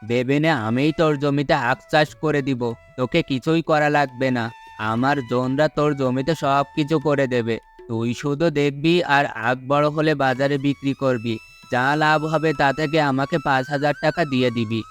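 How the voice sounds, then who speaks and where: A man's voice: mid-range voice, steady tone, moderate pace, close to an everyday voice. A man playing a sly, well-off village farmer in a cartoon folk tale, persuasive and condescending as he feigns kindness. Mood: bossy, coaxing. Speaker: cartoon voice actor. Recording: music under the voice.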